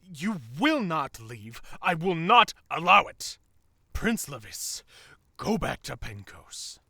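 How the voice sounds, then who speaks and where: A man playing a stern, possessive royal father in a fantasy audio drama, husky and halting, with an imperious, menacing manner. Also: on the high side, sing-song, bright, forceful tone, no echo, clean studio sound, heavily acted. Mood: forbidding. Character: stern, possessive king, anguished father of ailing princess. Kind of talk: audio roleplay drama acting.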